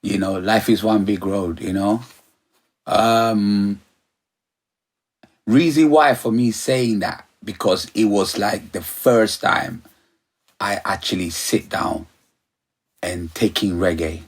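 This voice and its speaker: A middle-aged man from Ghana, his voice low and husky. He rambles through his answers, circling back with "you know" and "like I said.". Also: slow, long pauses. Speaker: sound system operator, guest. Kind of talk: relaxed interview chat.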